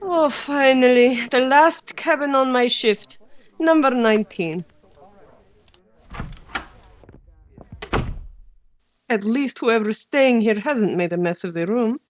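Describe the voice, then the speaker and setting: A woman's voice: on the high side, slight background noise. A woman playing an anxious young cabin maid in a radio play, talking to herself. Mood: weary relief.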